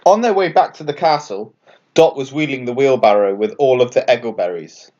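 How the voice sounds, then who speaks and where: A man's voice: mid-range voice, expressive, a little fast, a little mellow, lo-fi sound. A man narrating a scripted children's bedtime story as a storyteller. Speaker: author and storyteller.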